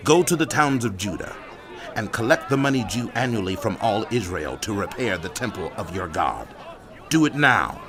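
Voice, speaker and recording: A man's voice: lowish voice, sing-song, moderate pace, crowd noise. An actor playing a stern, urgent young king, speaking with authority in a dramatized audio Bible. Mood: commanding. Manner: authoritative, demanding.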